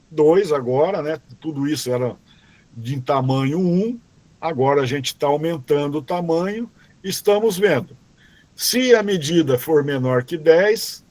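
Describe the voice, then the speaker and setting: A man teaching a robotics class online, explaining code slowly and patiently, often checking in with "tá?" and "ok?" and correcting himself as he reads commands aloud. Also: a little high, a little mellow, no echo. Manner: restarts and self-corrections while reading code aloud.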